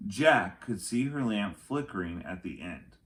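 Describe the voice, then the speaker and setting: A man reading a children's chapter book aloud as an expressive storyteller, with deliberate, dramatic phrasing. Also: lowish voice.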